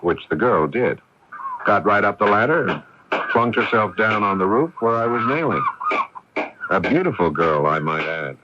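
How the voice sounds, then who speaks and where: An actor playing an easygoing, slightly bashful sculptor in a radio drama. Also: male voice, fairly low pitch, lively intonation, normal speed, natural voice. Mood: wry, narrating. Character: easygoing, slightly bashful male sculptor narrating his story. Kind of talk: early 1980s radio drama narration and dialogue. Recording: very noisy, muffled old AM radio recording.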